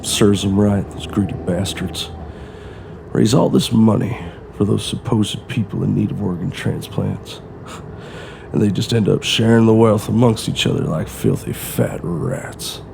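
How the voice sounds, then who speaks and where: A grim, desperate ex-con father, voiced by a man with a low, gravelly voice. He speaks tersely and bluntly. Clear background noise runs under this audio drama. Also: animated, measured pace, full character voice. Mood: bitter. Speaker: former criminal and father. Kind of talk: amateur audio drama acting.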